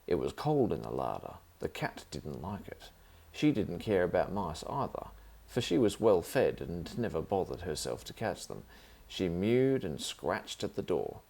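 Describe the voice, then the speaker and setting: A man's voice: low voice, vocal fry, a little mellow, husky. A man reading a children's story aloud as the narrator.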